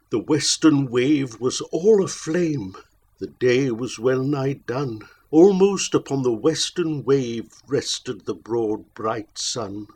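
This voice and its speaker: A man's voice: mid-range voice, husky. A man reciting narrative poetry in a theatrical, solemn way, drawing out his phrases. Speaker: poetry reader.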